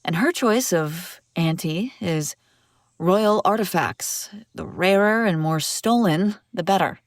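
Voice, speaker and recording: Woman playing a haughty young princess, fantasy roleplay, lower-pitched, sardonic banter, exasperated, dry intimate recording. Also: takes it slowly, no room sound. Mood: conspiratorial, explaining. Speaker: audio roleplay performer. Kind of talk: acted audio roleplay, one-sided dialogue.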